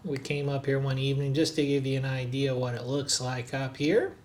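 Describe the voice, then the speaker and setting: A man's voice: medium pitch, fairly even intonation, a little fast, slight background noise. Easygoing man narrating a travel vlog. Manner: easygoing, chatty, frequent filler words. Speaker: travel vlogger, hotel reviewer. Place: recorded on the road in a noisy city.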